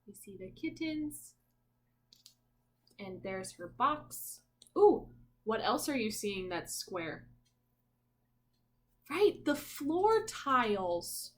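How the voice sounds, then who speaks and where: Slow, higher-voiced woman teacher reading aloud. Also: sing-song. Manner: sing-song, prompting listeners with questions. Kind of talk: picture-book read-aloud for young children.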